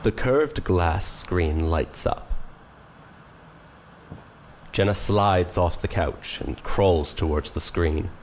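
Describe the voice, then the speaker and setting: A man narrating a scripted audio drama in a measured, solemn way. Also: low-pitched, slight background noise.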